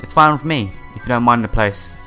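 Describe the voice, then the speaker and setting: A man's voice: medium pitch, a little fast. A man hosting a casual let's-play, reading game text aloud and adding informal asides. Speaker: gaming video host. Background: soft game music.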